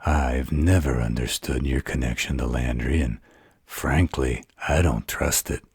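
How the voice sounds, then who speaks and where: A man's voice: rumbling low, measured pace, a little mellow, slightly rough, dry recording, studio quality, exaggerated voice. A man playing a snarky, distrustful supernatural fighter in an audio roleplay, with a mocking drawl. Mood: suspicious.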